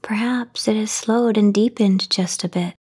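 A woman leads a guided sleep meditation in a soft, half-whispered voice of medium pitch. Her tone is breathy and soothing. She takes her time, leaving long pauses between phrases. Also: steady tone. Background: voice only, no music or background noise.

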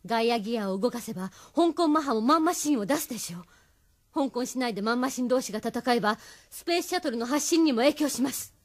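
A woman playing an urgent, resolute resistance member in an audio drama, brisk and firm. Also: on the high side, animated. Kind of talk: Japanese audio drama acting.

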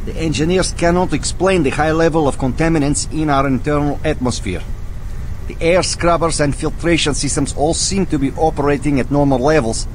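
An actor playing a formal male hazmat team member giving a measured report in a game audio log. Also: fairly high pitch, a little hiss or hum, close to an everyday voice, rough recording.

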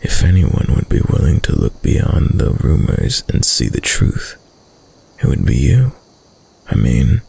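A man playing a dominant, seductive dark prince in an intimate roleplay audio drama, suave, hushed and deliberate, with a deep, gravelly, breathy voice. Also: exaggerated voice. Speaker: voice actor.

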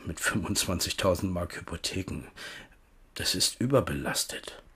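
An actor playing an evasive middle-aged tenant farmer who talks business in a smooth, guarded way in a radio play. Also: male voice, deep voice, lively intonation, moderate pace, sharp tone, exaggerated voice. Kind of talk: 1970s radio play acting.

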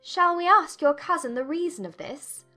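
An actress playing a witty, self-possessed young gentlewoman, poised and teasing, in a dramatized audiobook. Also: very high-pitched, normal speed, faint music behind. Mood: playful, teasing.

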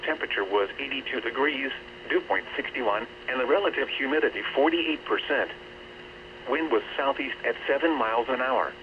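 A synthetic man's voice reading a scripted weather bulletin in a formal, measured manner over radio. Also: medium pitch, expressive. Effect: radio transmission. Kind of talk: scripted weather forecast and observations. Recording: noisy, dull weather radio reception.

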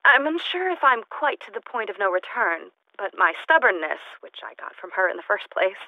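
A woman's voice: mid-range voice, very animated, moderate pace, dry recording, narrow, tinny audio. An actress playing an eccentric, unstable woman scientist in a video game, recording lonely logs in formal, wordy, clinically detached speech through a recorder-like radio filter. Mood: clinical, unhinged. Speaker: video game character actor. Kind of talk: in-character audio log monologue. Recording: thin, band-limited recorder sound.

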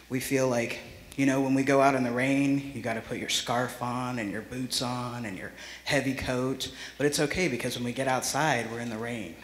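An earnest man in his thirties gives a memorial address. His voice sits at a medium pitch and rings through a large church with long reverb. He speaks reflectively into a microphone, as one addressing a gathering. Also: fairly bright tone. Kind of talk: heartfelt public memorial speech. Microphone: handheld or stand microphone close to mouth. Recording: echoey church hall reverb.

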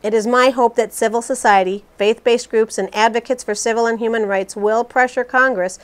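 A woman voicing a resolute, critical politician in a scripted interview re-enactment, formal and measured. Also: fairly high pitch, fairly even intonation, close to an everyday voice.

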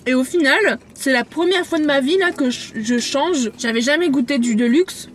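A woman's voice: sits high, normal speed. A woman in her late twenties with a French accent, chatting casually and slangily over food inside a parked car. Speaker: vlogger's partner, co-host. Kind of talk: casual chat while eating.

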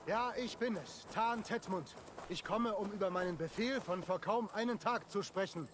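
An actor playing a nervous, boastful, stuttering monk who poses as a pompous lord in a video game. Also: male voice, high voice, normal speed, over music, lightly acted. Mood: blustering, flustered. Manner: stutters when nervous, blustering. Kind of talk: dramatic video game dialogue.

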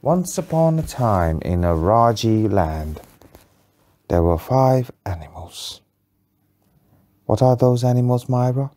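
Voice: mid-range voice, expressive, slow pace, long pauses, mellow, a little hiss or hum. An adult telling an improvised bedtime story to a child, patiently and in simple phrases.

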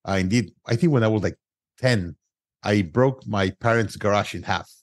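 Mexican-accented man, low dry voice. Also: moderate pace, no room sound. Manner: non-native grammar, frequent restarts and "uh" fillers. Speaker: guest entrepreneur. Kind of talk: conversational interview storytelling.

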